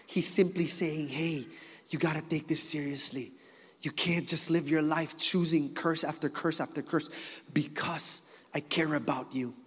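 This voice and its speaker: A male preacher with a high voice delivers an animated sermon, his clear throughout. He moves from forceful, loud emphasis down to near-whispers. The voice rings out with a long reverb in a large hall.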